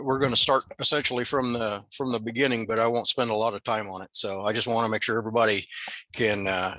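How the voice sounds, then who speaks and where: A man's voice: medium pitch, bright, forceful tone, dry recording. An older American man from Nebraska giving an informal presentation to a club in an easygoing, self-deprecating way. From Nebraska, USA. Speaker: guest presenter at an amateur radio club. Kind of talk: informal presentation over video call.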